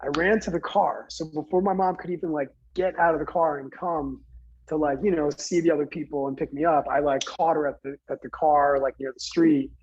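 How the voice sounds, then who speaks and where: A man from New Jersey earnestly recounting a personal story in conversation, halting, with many fillers and restarts. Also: fairly high pitch, steady tone, darkish tone, husky, highs cut off. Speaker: guest speaker.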